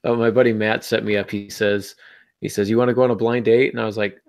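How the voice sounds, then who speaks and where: A man's voice: on the low side, level tone, quick pace, vocal fry, a little mellow. A man hosting a podcast in casual chat, with a wry, anecdotal way of talking. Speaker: podcast host.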